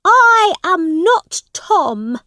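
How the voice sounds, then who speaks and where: A woman's voice: very high-pitched, very animated, extremely slow, bright, forceful tone, no room sound, studio quality, pitched above the performer's own voice, heavily acted. A woman voicing a proud, upset young boy prince crying out insistently in a storybook reading.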